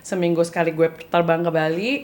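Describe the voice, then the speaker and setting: A woman's voice: lowish voice, flat delivery, a little fast. A woman in her thirties with a Bandung accent, a business-owner guest chatting casually, telling her story with laughs and acted-out quotes. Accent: Bandung Indonesian. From Indonesia. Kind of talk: casual podcast conversation, mixing Indonesian and English.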